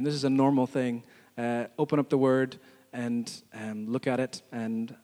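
A man's voice: medium pitch, a little mellow. Earnest man praying in a reverberant church hall. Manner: earnest, halting, pausing often. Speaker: church leader. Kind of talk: church announcements and spoken prayer.

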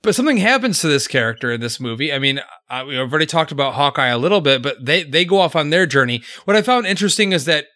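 A man's voice: a little high, expressive, fast, few pauses, sharp tone, no room sound, clean studio sound. A man in casual podcast chat, speaking in a confident, opinionated way and teasing his co-hosts.

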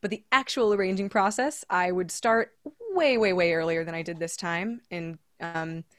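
A young woman with a low voice, chatting as a guest musician in a casual interview, laughing often and filling pauses. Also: no room sound, studio quality.

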